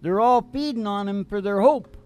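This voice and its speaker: A man's voice: sits high, normal speed, smooth. A man hosting a casual radio show, chatting in a rambling, folksy, irreverent way. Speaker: singing radio show host.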